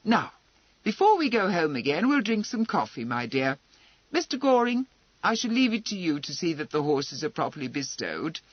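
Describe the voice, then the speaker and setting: A man voicing a brisk, commanding young aristocratic lady, high and emphatic. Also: sing-song, no echo, dull audio. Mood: heartening. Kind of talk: audiobook character voice.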